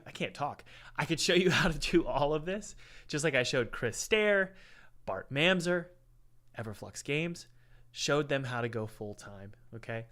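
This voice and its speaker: A man's voice: medium pitch, bright, forceful tone. A man hosting a casual livestream, rambling and repeating himself, sounding stuffy like he has a head cold. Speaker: livestream host reviewing indie games. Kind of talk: casual livestream chat with ad read. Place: home room, window open, cold.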